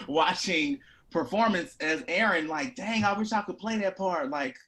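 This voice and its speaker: A man's voice: sits high, unhurried, pushed and bright. Young man chatting casually, playful and informal. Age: 20s. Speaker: actor and theater artist, guest. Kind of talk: casual conversation.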